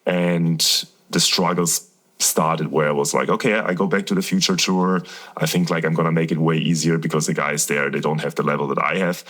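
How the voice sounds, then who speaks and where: Deep-voiced German man, candid. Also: fairly even intonation, fairly quick, fairly bright tone. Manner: earnest, candid, rambling storytelling. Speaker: guest, professional tennis player. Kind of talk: casual interview talk.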